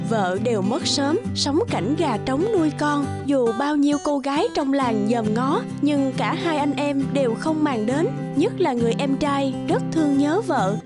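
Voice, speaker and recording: A woman storyteller narrates a scripted fairy tale in a high voice. Loud, childlike music runs behind the narration.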